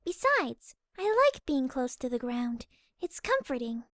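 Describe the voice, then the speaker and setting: A woman voicing a shy, gentle young female pegasus pony in a fan audio drama, timid, hesitant and soft-spoken. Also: sits high, sing-song, a little slow, no room sound, clean studio sound, full character voice. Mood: gentle, content.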